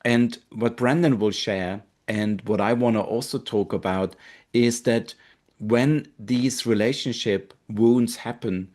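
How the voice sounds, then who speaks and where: Low-voiced Austrian man hosting a webinar. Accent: Austrian German. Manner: earnest, gentle, with fillers and pauses. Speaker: webinar host, marketing director. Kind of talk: hosting a live online webinar.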